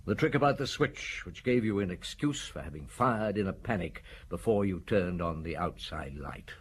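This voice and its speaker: Low-voiced, stern police inspector. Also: male voice, expressive, moderate pace. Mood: relentless, accusing. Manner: blunt, commanding, accusing. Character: stern, relentless male police chief inspector, menacing and sure. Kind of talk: audio drama acting, interrogation and narration. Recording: clearly noisy drama recording.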